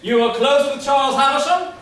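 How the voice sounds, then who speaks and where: Man playing a high-voiced pompous stage inspector. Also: fairly bright tone. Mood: questioning. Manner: deliberate, formal, theatrical. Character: pompous, formal police inspector questioning murder suspects. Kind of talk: comic murder-mystery stage reading. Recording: distant echoey room, faint noise.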